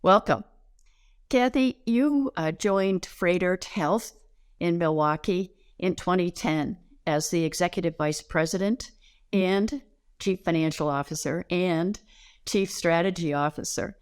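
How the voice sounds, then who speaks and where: An older woman with an American accent, hosting an interview and formally introducing a guest in a measured, slightly halting way. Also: fairly low pitch, clean tone, no room sound, clean studio sound. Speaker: interview host.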